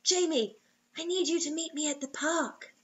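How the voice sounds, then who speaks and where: A woman voicing a distraught young woman in a story, pleading in a hushed, urgent way. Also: high-pitched, normal speed, lo-fi sound, voice pushed up, lightly acted.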